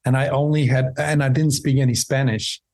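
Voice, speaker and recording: A man, talking easygoingly as a podcast guest over a remote call, with fillers and pauses. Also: medium pitch, fairly even intonation, a little mellow. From Belgium. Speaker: podcast guest, documentary filmmaker. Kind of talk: casual interview chat. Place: home room with window.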